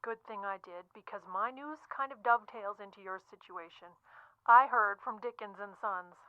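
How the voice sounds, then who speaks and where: An actress playing a brisk, upbeat, pushy literary agent in an audio drama, chatting breezily and cajoling in slang through a telephone filter. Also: female voice, mid-range voice, no echo. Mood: excited, cajoling. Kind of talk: audio drama acting, chatty phone-call delivery. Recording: tinny, narrow phone-line sound.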